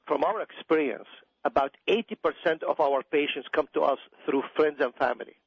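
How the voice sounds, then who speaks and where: A man's voice: fairly high pitch, normal speed, vocal fry, husky, dry recording. Radio interview guest: emphatic middle-aged man, Iraqi accent, doctor, repeats himself for stress, dry and slightly muffled sound. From Iraq. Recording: dull, dry radio audio.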